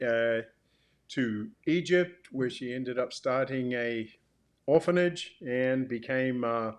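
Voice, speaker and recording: Male author-host, medium pitch, informal explanatory delivery, measured with hesitant phrasing. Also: a little mellow.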